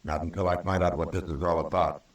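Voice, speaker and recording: A male actor playing a stern, fair-minded American frontier marshal in a 1950s radio western, speaking firmly and deliberately. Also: sits low, fairly even intonation, close to an everyday voice. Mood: calm, firm. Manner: firm, deliberate, authoritative. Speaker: lead actor and narrator. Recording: old radio recording, roomy and distant.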